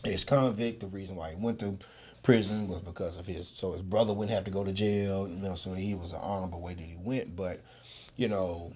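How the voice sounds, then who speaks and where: Low-voiced man speaking earnestly. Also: steady tone, brisk, a little mellow, husky. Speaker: stage actor being interviewed. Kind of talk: reflective conversational interview.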